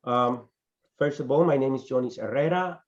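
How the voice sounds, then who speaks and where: A man, introducing his business to a networking group over a video call in a halting way, with frequent restarts and pauses. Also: mid-range voice, lo-fi sound. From Dominican Republic. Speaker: business owner presenting his company. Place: small indoor office in front of a company banner. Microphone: video call webcam.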